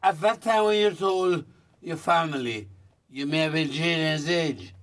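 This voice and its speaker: A man's voice: fairly high pitch, a little slow, a bit bright, recorded from a distance. A man hosting an interview, asking probing questions with emphatic phrasing. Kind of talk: casual interview.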